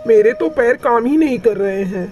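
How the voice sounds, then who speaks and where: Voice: medium pitch, lively intonation, moderate pace, a little mellow, lo-fi sound, natural voice. An actor voicing an adult male crow in a cartoon, a family-minded husband who speaks plainly and earnestly. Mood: alarmed. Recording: faint music behind.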